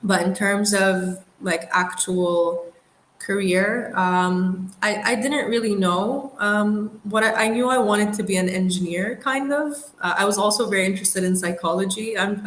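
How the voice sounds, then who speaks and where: A young woman from the Middle East, an engineer, recalls her path in a reflective, unhurried interview voice, slipping in soft laughs. Her voice sits a little low. The recording sounds roomy, with a faint hum of noise behind her. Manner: thoughtful, unhurried, lightly laughing. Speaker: aerospace engineer guest.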